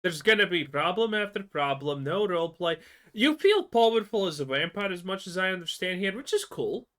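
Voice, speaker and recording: Man streamer, lively bright delivery, blunt and scornful, ranting reaction talk. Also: high-pitched, a little fast. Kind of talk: casual reaction commentary.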